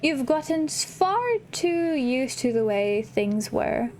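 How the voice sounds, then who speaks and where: Woman voicing a slow, condescending villainess, high-pitched. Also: expressive, darkish tone, slight background noise. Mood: smug, dismissive. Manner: theatrical, condescending, coaxing. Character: manipulative, theatrical villainess coaxing a young protégée.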